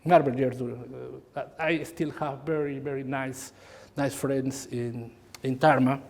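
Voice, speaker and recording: A middle-aged Peruvian man with a Spanish accent gives a university lecture, talking in halting phrases that repeat words, with friendly asides. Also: medium pitch, steady tone, vocal fry. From Peru. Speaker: guest lecturer. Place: lecture hall. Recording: hall reverb.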